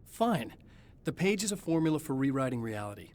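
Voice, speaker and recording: A man's voice: medium pitch, sing-song, a little fast, a little mellow, close to an everyday voice. A man as a dry, determined novelist hero. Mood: wry. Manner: dry, matter-of-fact, wry. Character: weary, determined male horror novelist. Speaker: writer, protagonist. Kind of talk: game cutscene acting.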